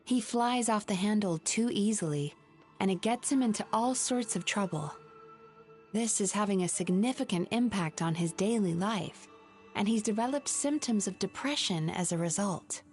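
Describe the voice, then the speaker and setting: An actress playing a composed young woman with a clinical, gentle yet firm way of speaking, in a game cutscene. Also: medium pitch, animated, normal speed, soft background music, lightly acted. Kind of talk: video game cutscene dub.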